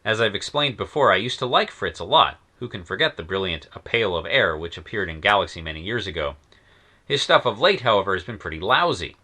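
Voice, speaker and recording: A man's voice: on the low side, lively intonation, a little fast, bright, forceful tone. A man narrating a scripted review of old science fiction stories, wry and enthusiastic with sardonic asides. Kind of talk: scripted narration in a period persona.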